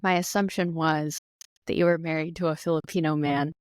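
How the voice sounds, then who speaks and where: Low-voiced woman hosting a podcast. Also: fairly even intonation, brisk, darkish tone, no room sound, clean studio sound. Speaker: podcast host, therapist. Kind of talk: podcast interview.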